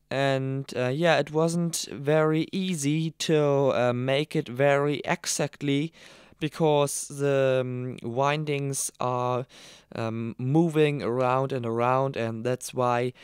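A man with German as his first language explaining a hands-on build in a tutorial voice-over, halting with many ums and slightly non-native phrasing. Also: mid-range voice, clean tone, no echo, studio quality. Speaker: radio hobbyist demonstrating a build.

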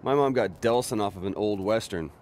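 A man's voice: fairly low pitch, a little fast, darkish tone, a little hiss or hum, lightly acted. A man voicing a cocky, wisecracking young superpowered rebel in a video game, with an American accent and casual delivery. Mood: casual, confident. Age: young adult.

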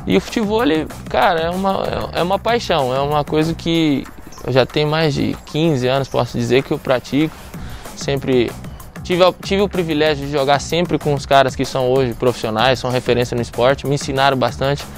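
A man in his thirties from Venezuela, a former footballer being interviewed outdoors on a sand court, talking in a relaxed, chatty way with frequent restarts. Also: medium pitch, normal speed, soft background music. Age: 30s. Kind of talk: casual interview.

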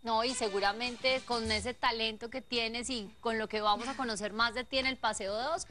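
High-pitched Colombian woman host. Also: fairly even intonation, moderate pace. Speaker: talk show host. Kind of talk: casual morning show interview. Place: TV studio living-room set. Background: music.

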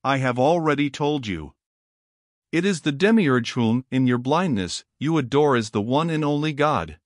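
A man reading the part of a haughty, learned male fallen angel in an audiobook, calm and impassive, in a dry, close sound. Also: medium pitch, normal speed, dry recording, close to an everyday voice.

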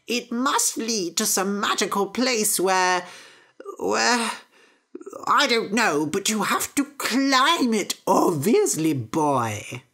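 Shrill bossy old mother, as a woman voices her. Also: sing-song, slow, fairly bright tone, full character voice. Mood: bossy, excitable. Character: silly, pushy old mother, lazy and excitable.

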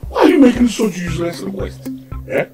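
A man's voice: sits high, sing-song, a little fast, vocal fry, music in the background, full character voice. An actor playing a vain, indignant widowed father with a Nigerian accent, scolding and repeating himself in a domestic comedy-drama. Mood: defensive, angry. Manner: blustering, repetitive, scolding. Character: indignant, vain, shameless widowed father chasing a young woman.

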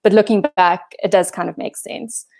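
A woman's voice: mid-range voice, steady tone, moderate pace, a little mellow. South African woman giving a chatty talk. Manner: self-deprecating, chatty, many fillers. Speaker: guest speaker. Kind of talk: casual presentation talk. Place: home room on holiday. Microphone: video call.

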